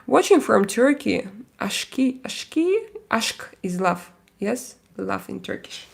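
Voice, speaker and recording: A woman from Russia speaking English with a Russian accent, hosting a casual live stream and chatting with viewers, hesitant and full of pauses and fillers. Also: mid-range voice, animated, vocal fry. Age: adult with young kids. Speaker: livestream host.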